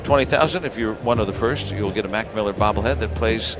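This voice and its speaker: An American man doing live radio play-by-play of a baseball game in short, measured phrases with asides between pitches. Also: music in the background. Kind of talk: live sports commentary with ad-libbed asides.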